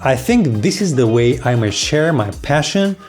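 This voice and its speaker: A young man talks straight to camera in a relaxed, playful vlog, jumping now and then into mock astonishment. His voice sits at an ordinary middle pitch, and soft music plays quietly underneath. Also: moderate pace. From Belarus. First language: Belarusian. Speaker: video creator. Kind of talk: casual vlog talk to camera.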